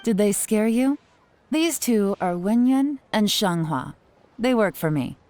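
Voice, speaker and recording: An actress playing a calm, composed female spymaster in a game dub, measured and knowing. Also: medium pitch, slight background noise, close to an everyday voice. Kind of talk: video game dub acting.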